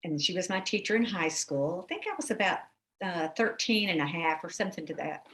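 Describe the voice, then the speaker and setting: Steady, measured woman interpreter. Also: lowish voice. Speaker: sign language interpreter voicing a panelist. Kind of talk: interpreted panel answer.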